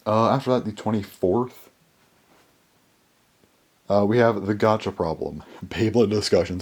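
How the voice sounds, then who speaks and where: Deep-voiced young man, hoarse and rambling. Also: a little mellow. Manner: rambling with many fillers and restarts. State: hoarse, voice worn down. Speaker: toy collector vlogger. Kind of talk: casual rambling update to camera. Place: small bedroom.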